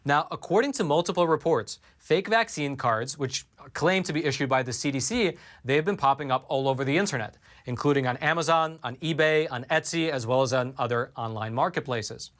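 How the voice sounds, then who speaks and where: A man's voice: on the high side, a bit bright. Man hosting a news show. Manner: measured, reads quotes aloud. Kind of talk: scripted news commentary. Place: studio.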